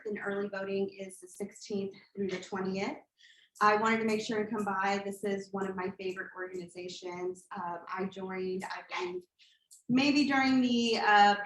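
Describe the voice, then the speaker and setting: A woman's voice: medium pitch, steady tone, moderate pace, fairly bright tone, slight background noise. A woman in her thirties, from Colombia, giving an earnest campaign speech at a community meeting, with frequent filler words. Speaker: political candidate. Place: church meeting hall. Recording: echoey hall.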